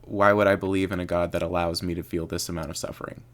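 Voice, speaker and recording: Low-voiced, relaxed male podcast host. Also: fast. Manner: relaxed and casual, with many fillers and loose, rambling questions. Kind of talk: casual podcast interview.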